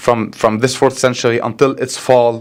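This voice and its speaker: Fast-talking Lebanese-accented priest. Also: male voice, medium pitch, flat delivery, clear, resonant tone. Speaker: Orthodox priest. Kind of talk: conversational explaining in an interview.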